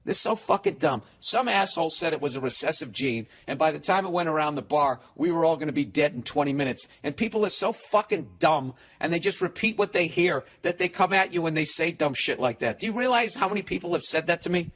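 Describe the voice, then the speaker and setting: A man in his forties rants alone on his podcast, swearing constantly and piling on sarcasm. His speaking voice sits high for a man. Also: expressive, fairly quick, few pauses, fairly bright tone. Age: 40s. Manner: profane, ranting, sarcastic. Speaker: podcast host. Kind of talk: solo comedic rant.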